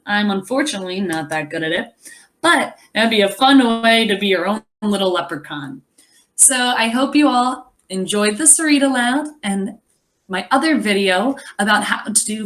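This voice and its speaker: A woman teacher reading a children's story aloud expressively, pausing to explain and wonder aloud. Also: mid-range voice. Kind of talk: animated children's read-aloud with asides.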